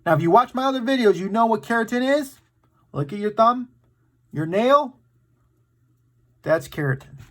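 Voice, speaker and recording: A man's voice: extremely high pitch, lively intonation, normal speed, long pauses, fairly bright tone. A man hosting a children's story time, reading aloud in an enthusiastic, playful and dramatic way with casual asides to his young viewers.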